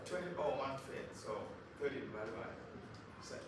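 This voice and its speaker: A man's voice: mid-range voice, expressive, moderate pace. A man from Cameroon giving an informal talk to a class of children, chatty and quizzing the audience. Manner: chatty, asks the audience questions. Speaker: guest speaker. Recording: echoey room, mic far off, background noise.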